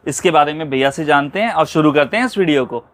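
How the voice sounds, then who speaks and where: A man's voice: a little high, fast talker, background noise. A man presenting a product review show in a conversational style. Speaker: video show host interviewing a dealer.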